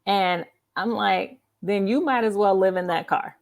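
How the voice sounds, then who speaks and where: A woman's voice: mid-range voice, unhurried, gravelly. A woman hosting a casual interview, speaking emphatically and affirmingly and repeating points for her listeners. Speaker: host of a live author interview show.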